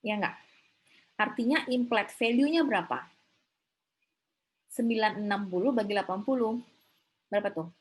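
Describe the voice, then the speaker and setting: Lady teacher doing an online class, bit husky, chatty, keeps asking students little check questions. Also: mid-range voice, normal speed, pauses often, low-quality recording. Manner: conversational, often seeking confirmation with short tag questions. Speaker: accounting lecturer. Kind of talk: informal online lecture, thinking aloud with frequent questions to students. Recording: slightly rough online class recording.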